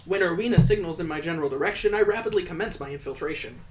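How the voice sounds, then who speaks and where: A man's voice: on the high side, racing, background noise. A man reading visual novel text aloud as a gameplay host, with theatrical, mock-epic narration over music. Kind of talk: dramatic storybook-like narration of game text. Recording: music behind the voice.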